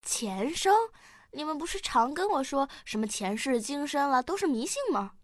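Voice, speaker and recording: Woman acting a high-voiced, curious little girl. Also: very animated, normal speed, dry recording, clean studio sound. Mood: puzzled. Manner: eager, questioning, childlike. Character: curious, quick-witted little granddaughter. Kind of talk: radio drama acting.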